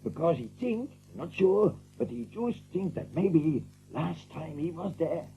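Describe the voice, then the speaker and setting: A man playing an excitable, blunt, big-hearted seagull who talks in broken English with drawn-out exclamations, in an audiobook drama. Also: a little high, lo-fi sound, a little hiss or hum. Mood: animated storytelling. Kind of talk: audiobook drama character acting.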